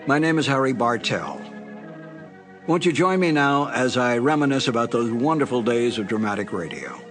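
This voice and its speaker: An older man, a former radio announcer, looking back on his career in a relaxed, conversational way and sometimes correcting himself mid-sentence. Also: mid-range voice, moderate pace, soft background music. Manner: relaxed, conversational storytelling with small self-corrections. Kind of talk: reminiscing anecdote.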